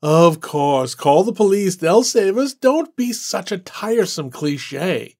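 A man's voice: mid-range voice, lively intonation, slow pace, creaky, a little mellow, heavily acted. Man playing Randall, a sneering sardonic man. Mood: mocking. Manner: sneering, sarcastic. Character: scornful sardonic man, mocking and brash.

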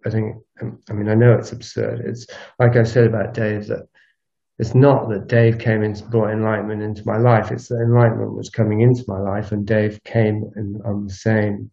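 Gravelly low-voiced man musing aloud. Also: dark tone. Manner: halting, musing, circles back and repeats phrases. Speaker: podcast host. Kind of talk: solo reflective spoken audio log, unscripted. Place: outdoors, recorded while walking. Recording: dull lo-fi outdoor recording.

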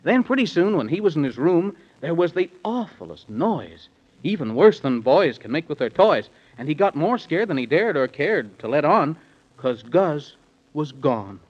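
Animated rhyming storyteller man, old radio. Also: on the high side, normal speed, slightly rough. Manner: singsong, bouncy rhymed delivery. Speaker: narrator. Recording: dull old 1930s radio recording.

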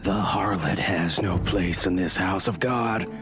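A man voicing a large, bearded religious fanatic who speaks slowly and solemnly. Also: low-pitched, over music. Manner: slow, solemn, condemning.

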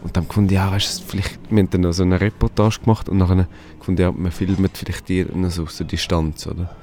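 A man's voice: sits low, flat delivery, fast, darkish tone. A man, chatting casually in a rambling way with many restarts. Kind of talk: casual conversation.

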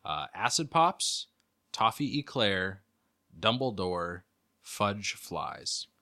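A man's voice: fairly low pitch, big pitch swings, very slow, sharp tone. A man co-hosting a podcast, reading quiz questions aloud and chatting casually in an easygoing way. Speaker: podcast co-host, quizmaster.

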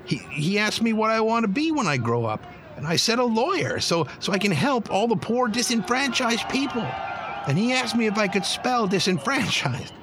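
A man's voice: high voice, big pitch swings, normal speed, background noise. A male actor playing a chatty, proud, idealistic eleven-year-old boy activist in an audio drama, talking informally. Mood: chatty, excited. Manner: chatty, informal, youthful filler-laden talk.

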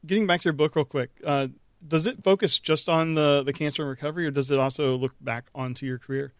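A man hosting a podcast and asking casual, quick interview questions. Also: on the high side, steady tone, clear, resonant tone, dry recording. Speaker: podcast host.

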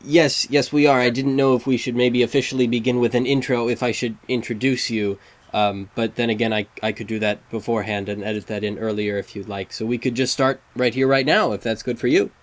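A man's voice: medium pitch, fairly even intonation, fairly quick. A man hosting a fan site interview, polite and earnest, hesitating with fillers. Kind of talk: casual interview.

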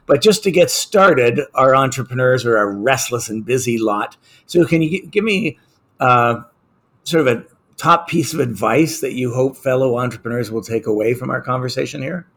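A male podcast host and business journalist interviews a guest. His voice is slightly husky, and his questions come out friendly, with the odd repeated word. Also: medium pitch, moderate pace, fairly bright tone. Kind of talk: interview hosting with scripted intro.

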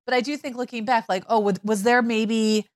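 A woman's voice: on the high side, steady tone, brisk, dry recording, clean studio sound. A middle-aged woman co-hosting a parenting podcast, telling stories in a chatty way with many fillers and restarts. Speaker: podcast co-host. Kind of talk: casual conversational chat.

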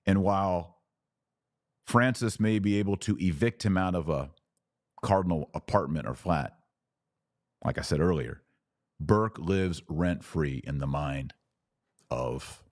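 A man's voice: extremely low pitch, slow, pauses often, dry recording, studio quality. An American man hosting a podcast, giving casual religious commentary and answering listeners in an emphatic, teacherly way. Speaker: podcast host.

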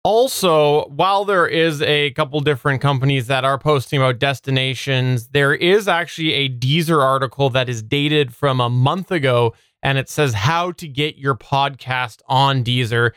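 A man's voice: medium pitch, normal speed, hardly any pauses, fairly bright tone, clear, resonant tone, dry recording, studio quality. A man co-hosting a podcast, talking through news in a conversational style. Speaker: podcast co-host.

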